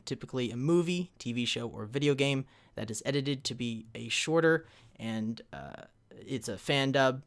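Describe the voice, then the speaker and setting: A man of ordinary pitch hosts a fan convention panel over a live stream, talking from a home computer mic. He rambles casually, filling gaps with "uh" and "um" and restarting his sentences as he goes. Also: gravelly. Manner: loose and rambling, many fillers and restarts, checks the chat.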